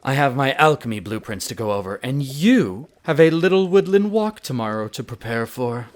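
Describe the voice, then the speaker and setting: Casting: male actor as tsundere elf alchemist, pompous and precise, mid-pitched with swooping delivery, audio roleplay. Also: expressive, moderate pace, natural voice. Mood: smug, bossy. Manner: pompous, precise, theatrical enunciation. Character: tsundere male elf archaeologist-alchemist, haughty, brisk, teasing scholar. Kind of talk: scripted audio roleplay addressed to the listener.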